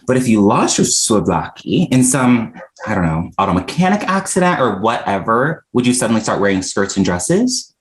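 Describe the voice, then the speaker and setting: A man's voice: on the low side, sing-song, normal speed, few pauses. A man acting a frank, exasperated young non-binary dinner guest in a comedy script table read, talking in a candid, wry way. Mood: patient, explaining.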